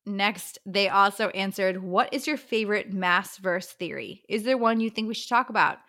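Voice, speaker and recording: A woman's voice: medium pitch, moderate pace, pushed and bright. A woman co-hosting a book podcast, chatting casually and reading out answers in an enthusiastic, playful way.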